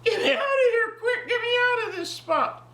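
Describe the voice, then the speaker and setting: A woman's voice: extremely high pitch, animated, moderate pace, sharp tone, voice pushed up, exaggerated voice. A woman of ninety voicing an indignant, demanding pet goldfish from a storybook, in an emphatic, complaining manner. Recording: echoey, distant home recording with faint noise.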